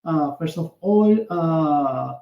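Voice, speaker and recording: Man, Venezuelan Spanish accent, earnest workshop presenter, halting with fillers, echoey room, lo-fi call audio. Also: a little high, expressive, gravelly. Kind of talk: online workshop lecture. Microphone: video call.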